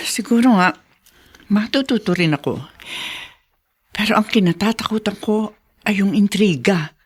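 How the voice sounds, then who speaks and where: An actress with a Filipino accent playing a nervous middle-aged politician's wife in a radio drama, hesitant and worried. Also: female voice, medium pitch, a little slow, pauses often, a bit bright, slightly rough. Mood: nervous, doubtful.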